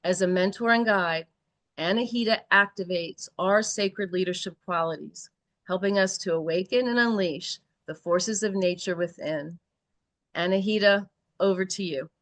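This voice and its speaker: A woman's voice: on the low side, normal speed, no room sound. A woman host steadily reading out a guest speaker's introduction. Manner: steady, even reading of a bio. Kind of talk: read-out introduction.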